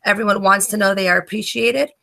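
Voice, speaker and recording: Gravelly woman reading her debate notes, trips over words a bit, sharp bright voice. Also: mid-range voice, fairly even intonation, fast talker. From New Jersey, USA. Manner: reads from notes with frequent stumbles and restarts. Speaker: student debater. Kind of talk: reading a prepared debate presentation.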